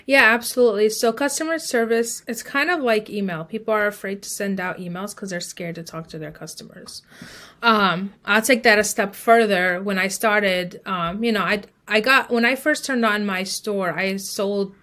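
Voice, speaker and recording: A woman with a medium-pitched voice talks as a guest expert in an interview. She explains things informally from her own experience, leaning on conversational filler phrases as she goes. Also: moderate pace, bright, forceful tone. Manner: informal, explanatory, frequent "like" and "you know". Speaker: podcast guest, email marketing expert. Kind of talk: conversational interview, telling own business story.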